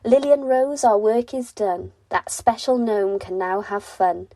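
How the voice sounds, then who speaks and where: A woman's voice: mid-range voice, measured pace, dark tone, no room sound, natural voice, low-quality recording. A woman voicing a caring messenger bird that speaks in singsong rhyme in a children's storybook. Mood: bright, proud. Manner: singsong rhyming. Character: caring birds chirping rhymed messages. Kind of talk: children's bedtime storybook reading.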